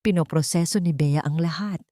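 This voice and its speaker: A woman's voice: on the low side, brisk, dark tone, dry recording, studio quality. A woman storyteller reading scripted narration of a dramatic love story.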